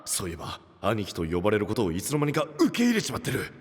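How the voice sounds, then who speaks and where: Gruff gang-boss knight, wildly expressive. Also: male voice, lowish voice, quick pace, in a large hall. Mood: suspicious, worried. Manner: rough tough-guy speech, expressive inner monologues. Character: gruff big-brother boss knight, proud, anxious, soft-hearted. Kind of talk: anime voice drama. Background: faint background music.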